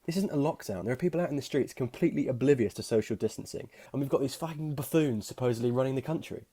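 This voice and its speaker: Exasperated man played by an actor, scornful sweary diary rant in a dark, mid-pitched voice. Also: fast talker, breathy edge, close to an everyday voice. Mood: angry, fed up. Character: fed-up, angry man scornful of the government.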